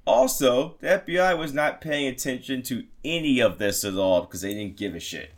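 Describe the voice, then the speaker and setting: Man, podcast host, casual storytelling, swearing, lively up-and-down pitch. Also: moderate pace. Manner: crude, jokey, swearing, rambling.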